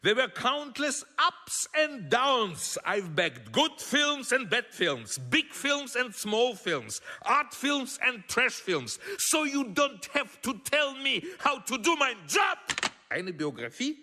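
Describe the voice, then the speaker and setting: An actor in a radio drama playing a pompous, impatient, blustering veteran film producer. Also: male voice, extremely high pitch, big pitch swings, slow pace, pushed and bright, in a large hall, exaggerated voice. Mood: boastful, then curious, amused. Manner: pompous, hesitant filler sounds, switches languages, barks orders.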